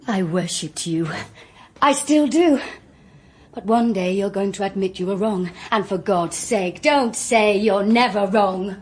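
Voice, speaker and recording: A woman's voice: mid-range voice, a little slow, darkish tone, slightly rough. An actress playing an earnest, wounded, adoring young English woman, speaking emotionally in a radio drama. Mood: impassioned.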